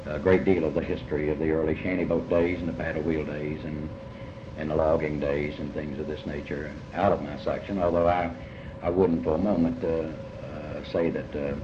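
Deep-voiced Appalachian man, muffled noisy recording. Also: flat delivery. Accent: Appalachian American. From Ohio River and Big Sandy region of Appalachia, USA. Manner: halting, deliberate, repeats phrases. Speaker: writer being interviewed. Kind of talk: reflective interview talk. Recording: muffled, echoey, noisy old interview tape.

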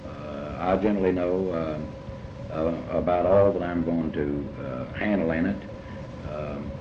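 A man with a low voice and a Kentucky accent talks as a writer being interviewed. He is deliberate and folksy, stopping and repeating himself as he thinks. The recording is muffled and echoey, with clear background noise. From Kentucky, United States. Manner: halting, deliberate, folksy, often repeating phrases. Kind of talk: reflective interview answers. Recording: muffled, noisy, echoey old tape.

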